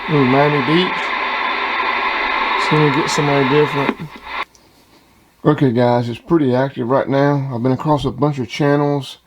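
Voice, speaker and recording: A man's voice: mid-range voice, steady tone, normal speed, pauses often, slight background noise, rough recording. A man casually showing off radio gear, plain-spoken and enthusiastic, like a hobbyist hosting his own video. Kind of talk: casual product demonstration.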